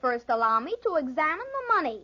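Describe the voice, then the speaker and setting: A woman's voice: high voice, sing-song, fairly quick, sharp tone, heavily acted. A woman playing a sly, teasing, insolent young boy in a 1940s radio drama. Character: sly, taunting young boy bargaining with his cousin. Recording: muffled 1940s radio recording.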